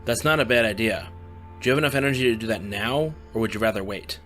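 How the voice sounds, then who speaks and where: A man voicing a weary young student in an audio drama, low-voiced and quick. He talks bluntly, clipped and worn out, over soft music. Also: lightly acted. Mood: tired. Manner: blunt, swears when tired. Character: young male student with magic powers, weary.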